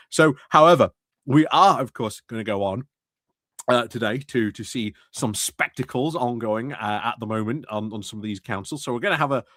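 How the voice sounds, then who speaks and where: A man's voice: mid-range voice, fairly bright tone, no echo, clean studio sound. A man giving a casual, rambling livestream monologue about local politics, full of fillers, restarts and little chuckles. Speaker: political commentary streamer.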